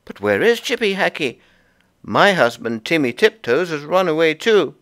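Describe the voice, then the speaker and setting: Storybook figure: a man doing a fussy little female chipmunk, high and squeaky, ruffled and indignant. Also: very animated, moderate pace, fairly bright tone. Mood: flustered. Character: flustered chattering female chipmunk wife.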